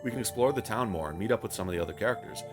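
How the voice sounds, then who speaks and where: A man's voice: on the low side, expressive, racing, over music. A man narrating a scripted but conversational video game review in a wry, self-deprecating way, with wordy phrasing and casual swearing.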